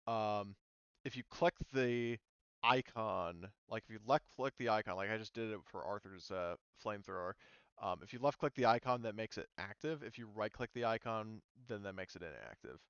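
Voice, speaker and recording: A man's voice: on the low side, moderate pace, a bit bright, clean tone, no echo, highs cut off. A man running a tabletop role-playing game as game master, explaining things in a casual, informal way. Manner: informal, sweary.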